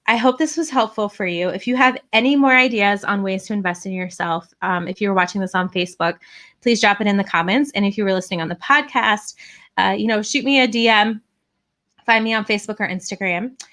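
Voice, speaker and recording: A young woman hosting a casual live podcast, chatty and encouraging, with lots of fillers. Her voice is tired and starting to go hoarse. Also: medium pitch, a bit bright. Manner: chatty and encouraging, with frequent fillers and asides to the audience. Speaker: podcast host and direct sales coach.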